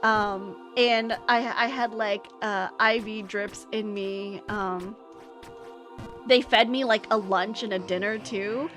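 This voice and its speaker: A woman's voice: mid-range voice, steady tone, slow, a bit bright, soft background music. A young American woman streamer telling a story in a casual, rambling way, with many fillers and restarts. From United States. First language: English.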